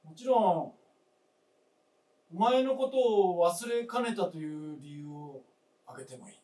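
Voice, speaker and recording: A man's voice: high voice, lively intonation, measured pace, dark tone. Man acting a weary old widower, swooping intonation. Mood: hesitant, candid. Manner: brooding, terse, old-fashioned husbandly speech. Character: world-weary, self-doubting old widower. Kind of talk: staged radio drama acting. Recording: echoey stage, distant mic.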